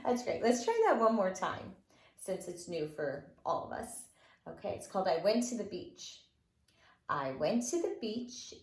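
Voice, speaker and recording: A woman nursery school teacher leading children's circle time with rhymes and finger plays in a playful, encouraging, sing-song way. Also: mid-range voice. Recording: roomy, echoing indoor sound.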